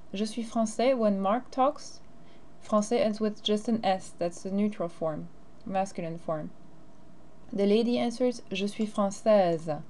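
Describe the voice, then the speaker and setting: A woman's voice: medium pitch, dark tone. A teacher, a woman, explaining grammar and carefully modeling phrases in a recorded lesson. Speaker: French teacher.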